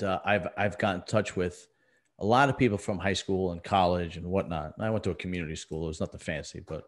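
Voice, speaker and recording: A middle-aged man talking casually as a podcast host, blunt and emphatic, often repeating himself for stress. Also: sits low. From US East Coast. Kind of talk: casual conversational podcast talk.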